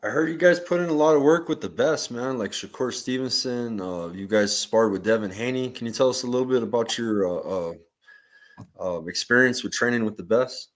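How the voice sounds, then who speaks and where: A man hosts a casual sports interview. His voice is middle in pitch, and he talks informally with plenty of slang, addressing the guest like a fan. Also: a little fast. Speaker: boxing show host.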